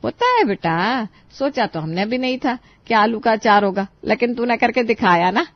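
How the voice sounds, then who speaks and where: A woman's voice: fairly high pitch, expressive, normal speed. A woman playing a sweetly demanding mother-in-law. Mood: coaxing. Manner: coaxing, affectionate. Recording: dry, slightly muffled studio voice.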